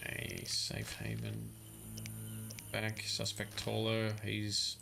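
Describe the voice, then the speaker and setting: A man's voice: on the low side, big pitch swings, very slow, creaky, music in the background. A man streaming a video game, chatting loosely with viewers, often correcting himself and chuckling. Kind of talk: live gameplay commentary.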